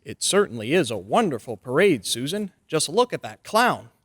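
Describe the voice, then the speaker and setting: A man's voice: a little high, sing-song, normal speed, a bit bright. A man playing a friendly, earnest, slightly hesitant bachelor lawyer in an old-time radio drama.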